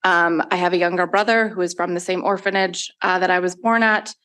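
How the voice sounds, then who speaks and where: A woman public defender, steady and matter-of-fact, introducing herself on a panel. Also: on the low side, brisk. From Colombia, raised in Minnesota. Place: large law school hearing room.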